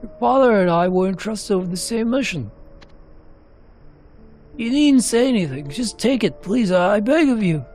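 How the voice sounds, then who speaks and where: A man voicing a remorseful, anxious character in a game story, pleading and halting. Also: high voice, expressive, a little mellow, soft background music, pitched above the performer's own voice. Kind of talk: narrated game cutscene character.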